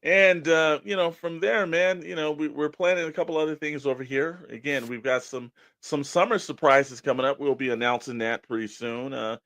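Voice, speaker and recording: A man co-hosting a podcast, chatting casually and pitching straight to the listeners in a conversational way. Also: fairly even intonation, moderate pace, few pauses, a bit bright, no echo. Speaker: podcast co-host. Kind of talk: casual promotional podcast chat.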